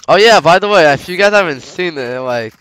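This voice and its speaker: A man's voice: on the high side, lively intonation, brisk, a bit bright, smooth, poor audio. A man casually chatting to his stream viewers while gaming, slangy and sweary, recovering from a slight cold. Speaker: live game streamer.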